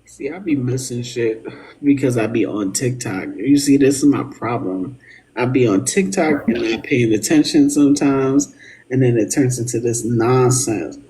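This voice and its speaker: A speaker in their early thirties hosting a casual live stream, animated, slangy and swearing freely. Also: medium pitch, moderate pace, poor audio. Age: early 30s. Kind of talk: casual chat.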